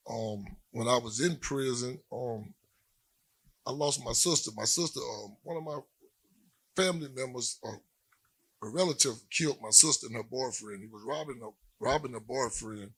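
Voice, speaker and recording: Husky man telling his story. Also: medium pitch, fairly bright tone, poor audio. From Louisiana. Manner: plain-spoken, halting with many "um" pauses. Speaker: panel guest, former prisoner. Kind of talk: personal testimony on a panel.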